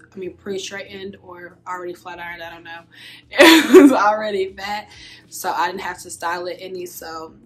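A woman in her twenties with a dry, deadpan voice, chatting casually through a hair tutorial as a beauty vlogger. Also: medium pitch. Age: 20s. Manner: monotone, deadpan delivery. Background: background music.

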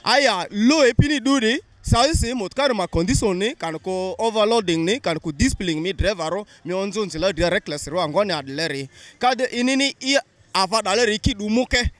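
A man's voice: high voice, expressive, brisk, a bit bright, no room sound. A young man answering a street interviewer outdoors into a handheld mic, explaining his views earnestly, mostly in a local language. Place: busy town street outdoors.

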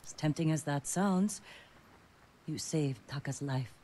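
A woman's voice: low voice, measured pace, warm tone, a little hiss or hum. A wry, guarded female ally. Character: dry, guarded woman ally, tough and loyal. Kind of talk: video game dramatic acting.

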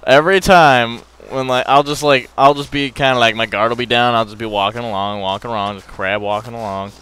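A man's voice: medium pitch, a little fast, slight background noise, rough recording. A young man casually commentating on a zombie survival game with a friend, rambling and crude-joking, recorded on a headset mic. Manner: rambling, crude joking, breaks into excited bursts. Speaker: gameplay channel host.

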